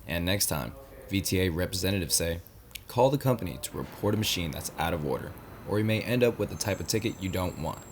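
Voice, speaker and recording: A man's voice: sits low, lively intonation, quick pace, slight background noise. A man working as a news reporter, reading scripted narration for a news story.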